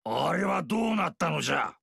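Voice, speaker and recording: A leering old tyrant. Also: male voice, very animated, brisk, gravelly, fairly bright tone, slightly rough, dry recording, raised voice, exaggerated voice. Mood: boisterous. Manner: leering, boisterous, booming laughs. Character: lecherous greedy fat old male tyrant. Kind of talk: video game dialogue. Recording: dry studio recording.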